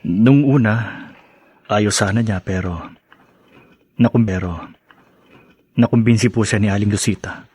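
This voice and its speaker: A man's voice: lowish voice, unhurried, pauses often, darkish tone, husky, no echo. A man playing a dutiful, respectful adult son in a radio drama, with a Filipino accent. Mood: subdued, respectful. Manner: polite, deferential.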